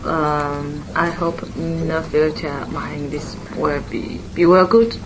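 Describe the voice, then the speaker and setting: A woman student introducing herself in halting learner's English. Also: low voice, over music, low-quality recording.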